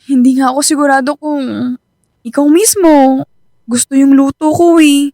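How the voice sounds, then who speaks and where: Soft, high-voiced anxious Filipina girlfriend played by a woman. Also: fairly even intonation, normal speed, no echo, studio quality, close to an everyday voice. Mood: worried. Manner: hesitant, worried. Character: anxious, self-doubting young girlfriend, soft and devoted. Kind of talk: Filipino radio drama acting.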